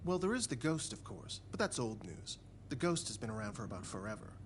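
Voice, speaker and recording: A man's voice: medium pitch, sing-song, fairly quick, lightly acted. A man voicing a friendly, gossipy servant in a cartoon video game, chatty, confiding and folksy. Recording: clear ambient noise behind.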